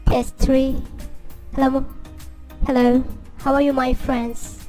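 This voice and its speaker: A man voicing a young woman gamer through a voice changer that pitches him up. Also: monotone, slow, darkish tone, distant mic, soft background music. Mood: chatty, playful.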